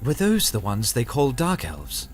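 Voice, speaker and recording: A man's voice: mid-range voice, huge pitch swings, unhurried, a little hiss or hum. A man playing an earnest, courteous young swordsman in a fantasy audio story. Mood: curious.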